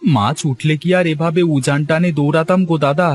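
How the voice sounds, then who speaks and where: A man's voice: fairly high pitch, fairly quick, lightly acted. A man voicing a poor, impatient fisherman husband in a cartoon, plaintive and grumbling. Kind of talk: Bengali cartoon story voice acting.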